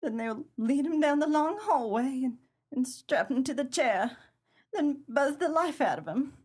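An actress playing a tough, bitter 1930s gangster moll in a pulp crime radio play, slangy and dramatic. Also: female voice, high voice, lively intonation, unhurried, darkish tone. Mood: bitter, wistful. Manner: slangy, hard-boiled, dramatic.

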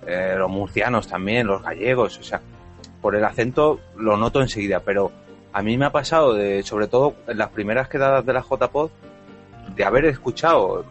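A man from Madrid, a podcast guest reflecting thoughtfully in a casual chat. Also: lowish voice. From Madrid, Spain. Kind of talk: casual round-table chat.

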